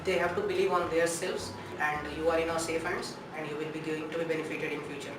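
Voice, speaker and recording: Young male student, Bihari Indian accent, quick talker, heavy room echo. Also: a little high, little pitch movement. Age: 20s. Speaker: MBA student giving a testimonial. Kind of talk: interview testimonial. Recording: very echoey, distant mic, background noise.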